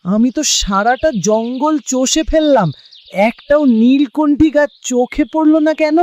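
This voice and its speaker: A man's voice: very high-pitched, measured pace, darkish tone. A man playing a loyal, pleading village man full of self-doubt in a cartoon drama. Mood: frantic, frustrated. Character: Deben, anguished loyal village man searching a forest. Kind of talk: Bengali cartoon drama acting.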